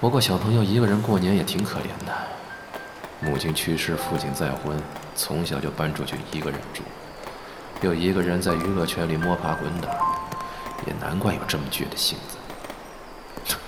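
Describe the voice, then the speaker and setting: A man's voice: deep voice, moderate pace. A man playing a teasing, secretly caring big-brother entertainer in a Chinese audio drama, languid and grumbling yet indulgent. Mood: musing, wry. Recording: music behind the voice.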